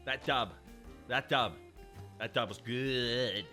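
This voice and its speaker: A man's voice: mid-range voice, slow pace, sharp tone, faint music behind. A man streaming as a VTuber, bantering casually with a co-host and slipping into silly accent impressions.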